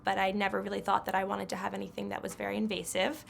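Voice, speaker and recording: Young woman, stuffy nasal voice with some fry, candid chat, faint hum behind. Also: mid-range voice, monotone, fast talker. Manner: candid, conversational. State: congested. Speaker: patient.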